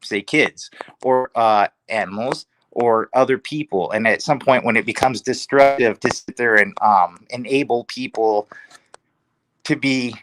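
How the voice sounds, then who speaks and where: A man's voice: medium pitch, a little slow, fairly bright tone, a little hiss or hum, rough recording. A man speaking as a panelist in a casual discussion, giving his opinions emphatically.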